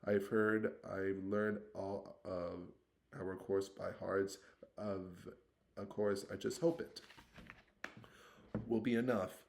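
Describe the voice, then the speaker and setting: A man voicing a bossy, know-it-all schoolgirl with a brisk, eager manner. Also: sits low, fairly even intonation, creaky, a little mellow, full character voice. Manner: brisk, eager, talks over others.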